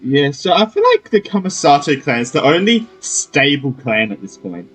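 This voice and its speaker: A man's voice: a little high, sing-song, normal speed, a bit bright, faint music behind, poor audio. A man giving casual let's-play commentary as a gaming streamer, reacting in an informal, slangy, muttering way.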